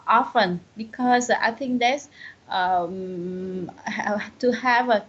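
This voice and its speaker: A woman with a Vietnamese accent, a student speaking English in an online lesson, halting and searching for words with many restarts. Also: medium pitch, fairly bright tone, slightly rough, dull audio.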